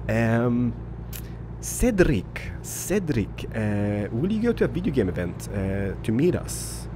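A man's voice: mid-range voice, very animated, slow. A man in his thirties from Poland, raised in Sweden, rambling casually through gameplay commentary and viewer questions from his home office. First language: Swedish. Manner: rambling, loose, many fillers and restarts. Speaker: gaming YouTuber. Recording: game engine noise under voice.

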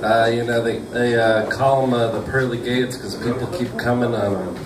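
Halting deadpan young comedian, distant club recording. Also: male voice, mid-range voice. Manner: halting, full of uh's, deadpan one-liners. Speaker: stand-up comedian. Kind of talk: deadpan stand-up set. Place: small comedy club stage. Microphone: stand mic, filmed from the back of the room. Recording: roomy distant club recording with loud crowd noise.

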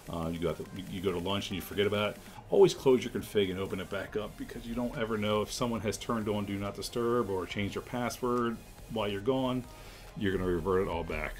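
A man hosting a casual software tutorial, explaining informally step by step. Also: on the low side. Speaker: tutorial host, telecom technician.

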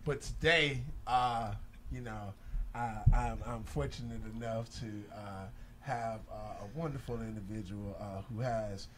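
A man hosts a relaxed studio interview. He talks in easy slang, padding his questions with fillers and "you know what I'm saying." He speaks into a desk mic in a small studio. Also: lowish voice, unhurried, noisy background. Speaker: radio show host. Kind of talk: casual interview chat. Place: small studio with backdrop, indoors. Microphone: desk mic on a stand.

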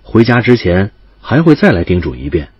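Calm, gentle young male doctor. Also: fairly low pitch, fairly quick, slightly rough, no echo, lo-fi sound. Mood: calm, tender. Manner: calm, understated. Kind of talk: audio drama dialogue.

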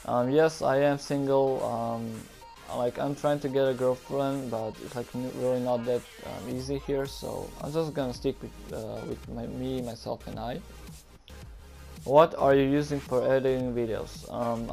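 A man's voice: mid-range voice, steady tone, moderate pace, vocal fry, mellow, soft background music. A young man from Croatia with a Croatian accent, hosting a casual Q and A over game footage, hesitant and nervous with many ums and likes. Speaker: gaming YouTuber.

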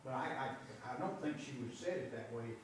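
A man's voice: mid-range voice, lively intonation, fast talker. A man chairing a school board meeting, telling rambling stories and calling on members. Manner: rambling anecdotal storyteller. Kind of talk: meeting discussion. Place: large meeting room. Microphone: far camera. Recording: echoey room from far off, background noise.

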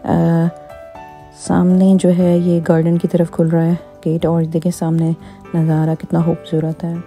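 Woman vlogger, low warm voice, casual tour chatter with soft music under it. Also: completely flat delivery, unhurried. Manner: chatty, many fillers, code-switching into English. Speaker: vlogger giving a home tour. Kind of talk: casual vlog narration. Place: indoors in a show home, walking room to room. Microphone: phone held in hand.

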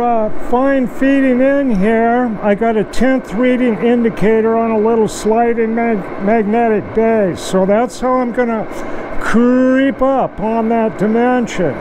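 A man's voice: very high voice, fairly even intonation, measured pace. An older man, a machinist, giving a casual, plainspoken workshop demonstration as he works, explaining each step. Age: 60s or older. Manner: plainspoken, hands-on explaining while working. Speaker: machinist presenter. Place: small home machine shop, indoors. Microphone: furry lavalier clipped at chest. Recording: loud machinery noise behind voice. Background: running lathe racket.

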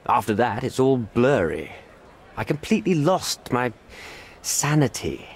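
Casting: middle-aged diplomat in a video game, cornered and evasive, highly animated intonation, placating and formal. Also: male voice, unhurried, vocal fry, husky, exaggerated voice. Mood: evasive, regretful. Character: cornered middle-aged British envoy, evasive, smooth-talking, pleading. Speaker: British envoy. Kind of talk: video game cutscene acting.

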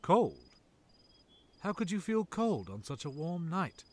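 Man playing slow, earnest royal companion. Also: on the high side, very animated, full character voice. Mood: incredulous. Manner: formal, deliberate. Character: loyal, earnest, weary royal companion of a king.

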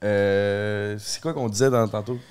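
A man's voice: lowish voice, fairly even intonation, a little slow, darkish tone, smooth. A man with a Quebec French accent co-hosting a podcast, talking in a rambling, joking way. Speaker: podcast co-host. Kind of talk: casual chat.

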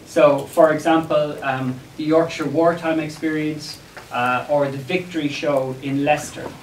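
A man with an Irish accent presenting an academic paper in a measured, slightly hesitant way to a seminar room. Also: fairly high pitch, fairly even intonation. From Ireland. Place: wood-panelled seminar room, indoors. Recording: echoey room, distant mic, background noise.

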